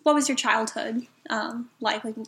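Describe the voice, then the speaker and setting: A woman's voice: on the high side, steady tone, measured pace, fairly bright tone. A young woman student interviewing someone for a class, asking hesitant questions with many um and like fillers. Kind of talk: oral history interview.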